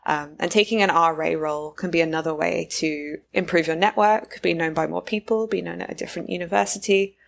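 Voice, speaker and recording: Low-voiced British woman, gravelly. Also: steady tone, fairly quick, few pauses. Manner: thoughtful, many fillers and restarts. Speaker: guest researcher. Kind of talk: casual interview talk.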